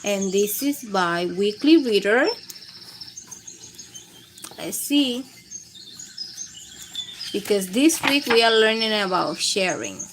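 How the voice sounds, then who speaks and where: A woman's voice: medium pitch, lively intonation, slow pace, pauses often, background noise. A woman hosting a storytime, reading aloud to young children in simple phrasing and putting questions to her listeners. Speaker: children's storytime host and teacher.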